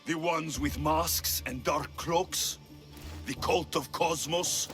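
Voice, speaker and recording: An actor playing a stern, world-weary male mercenary of ancient Greece in a video game, measured and serious. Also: a little high, steady tone, fairly bright tone. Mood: accusing. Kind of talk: dramatic video game cutscene acting. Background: music.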